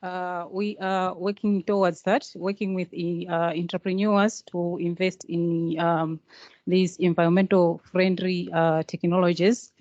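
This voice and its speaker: A woman from Malawi who works on a project, asking a question at a workshop in measured speech with frequent pauses. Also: on the low side, little pitch movement, darkish tone, dry recording, muffled recording. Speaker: project worker. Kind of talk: conference floor question.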